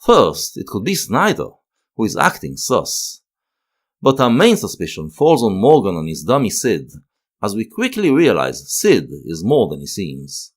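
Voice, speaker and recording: A man delivers scripted, analytical narration. His voice sits fairly low, and his intonation swings widely as he goes. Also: huge pitch swings, unhurried. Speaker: video essay narrator.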